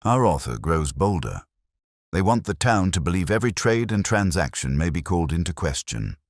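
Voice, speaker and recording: A man voicing a calm, watchful police inspector with formal, understated delivery in a mystery story. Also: deep voice, normal speed, no echo, clean studio sound. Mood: calm, probing. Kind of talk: dialogue in a narrated mystery story.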